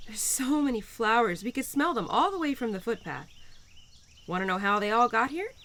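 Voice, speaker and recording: A woman's voice: on the high side, normal speed. Woman acting a bubbly, lilting spring spirit. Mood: warm, excited. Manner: bubbly, affectionate, gently teasing, expressive. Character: cheerful, playful, nurturing young female spirit of spring. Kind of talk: roleplay audio drama addressed to the listener.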